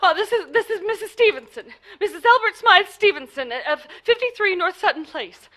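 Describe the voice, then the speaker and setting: A woman's voice: very high voice, animated, normal speed, pushed and bright, full character voice. A woman playing an anxious, imperious bedridden wife in a 1940s-style radio play, stammering and insistent in an agitated way. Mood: frantic, indignant.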